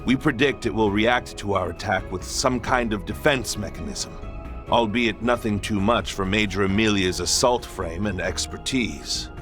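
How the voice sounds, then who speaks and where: An actor playing a composed, resolute head of state in a video game, speaking formally and with authority. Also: male voice, lowish voice, normal speed, music in the background, natural voice. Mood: composed, confident. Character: resolute, composed male president of a republic. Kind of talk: video game cutscene voice acting.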